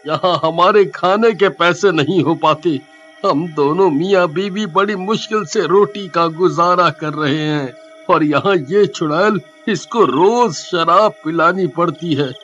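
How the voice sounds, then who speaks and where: A man voicing a poor, worried village farmer in a cartoon story, complaining in a plaintive, deliberate way. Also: sits high, fairly bright tone, dull audio, faint music behind. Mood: exasperated. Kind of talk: cartoon moral story acting.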